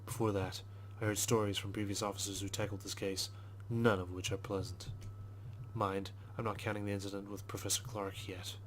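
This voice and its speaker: A man reading visual novel text aloud as a let's player, in a measured, understated storytelling manner with brief asides. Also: fairly low pitch, level tone, slight background noise, rough recording. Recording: music behind the voice. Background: game music.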